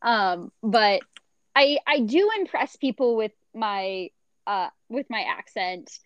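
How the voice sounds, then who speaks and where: A woman's voice: on the high side, animated, takes it slowly, no room sound. Chatty young woman, muffled sound. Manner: chatty, rambling, many fillers. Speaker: podcast co-host. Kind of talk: casual chat.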